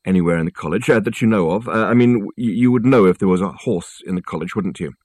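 A man's voice: low-pitched, quick pace, darkish tone, breathy edge, no room sound. A man voicing a flustered, polite male visitor in an audiobook, hesitant and stammering apologetically.

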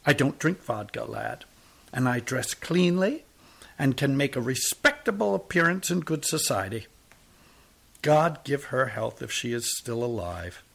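A man voicing a pious, self-pitying male tramp with a soft, drawling, sickly sweet voice. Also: mid-range voice, gravelly, breathy edge, pitched above the performer's own voice, heavily acted.

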